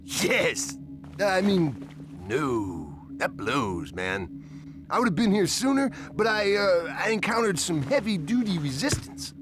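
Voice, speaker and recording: Casting: clueless game surfer slacker, high and animated, slangy drawl, music underneath. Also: male voice, slow pace, full character voice. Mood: flustered. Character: goofy, clueless young male surfer-dude slacker. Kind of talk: cartoonish video game acting.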